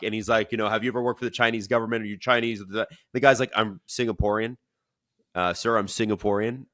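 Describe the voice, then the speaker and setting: Host, 40s male; casual, rambling questions, bright mid-pitched voice. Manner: rambling, chatty, asks long questions. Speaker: podcast host. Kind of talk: casual podcast chat. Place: indoor studio room. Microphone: earbud mic, video call.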